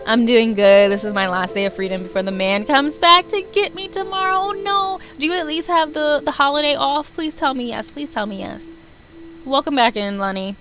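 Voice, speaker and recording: A woman's voice: sits high, normal speed, fairly bright tone. A woman livestreaming a game, chatting informally and playfully and reading a visual novel aloud. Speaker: game streamer. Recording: music playing behind the voice. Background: background music.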